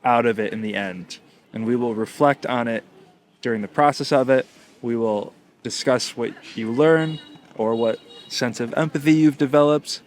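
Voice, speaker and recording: A man's voice: medium pitch, moderate pace, a little hiss or hum. A man from the United States co-hosting a podcast, talking in long winding sentences with a teasing, thoughtful manner. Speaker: podcast co-host. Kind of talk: casual conversational chat.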